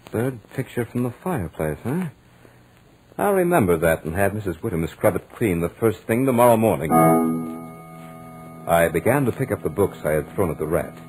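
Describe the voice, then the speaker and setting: An actor playing a studious, stubborn young lodger with dry humour, in measured, dramatic 1940s radio horror style. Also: male voice, on the low side, very animated, rough recording. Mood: wry. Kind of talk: 1940s radio horror acting, first-person narration. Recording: old radio drama recording with music.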